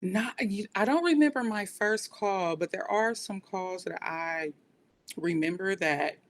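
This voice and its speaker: An American woman talking in a conversational interview, explaining and recounting in a reflective way as a guest. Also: measured pace. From United States. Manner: reflective, explanatory, often says "you know". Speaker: podcast guest, police dispatcher. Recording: noticeable background noise, low rumble.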